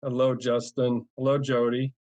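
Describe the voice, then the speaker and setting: A man's voice: medium pitch, flat delivery, moderate pace, a little mellow, no room sound. A middle-aged man from New England with a Boston accent, a podcast guest talking casually and eagerly, with lots of ums and restarts. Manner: rambling and enthusiastic, many ums and restarts. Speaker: guest, cyber insurance advisor.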